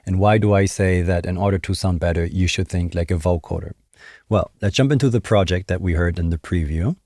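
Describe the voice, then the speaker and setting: A man's voice: deep voice, brisk, darkish tone, dry recording, clean studio sound. A man in his thirties giving a casual, conversational music production tutorial, explaining as he thinks aloud. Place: small indoor home studio. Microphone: desk microphone close up.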